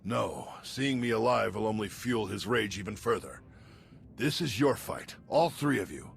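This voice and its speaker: A man playing a courteous, resolute old commander in a sci-fi game, speaking formally and deliberately with a gravelly voice. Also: on the low side, expressive, slight background noise. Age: older.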